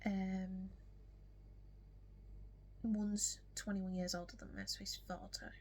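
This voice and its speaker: A nineteen-year-old woman with a strong Yorkshire accent, chatting casually to camera in a get-to-know-me vlog, often soft and whispery with lots of ums, hiccuping now and then. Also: mid-range voice, level tone, slow pace, breathy edge. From West Yorkshire, England. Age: young adult. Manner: soft, frequently whispery delivery with many ums. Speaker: vlogger.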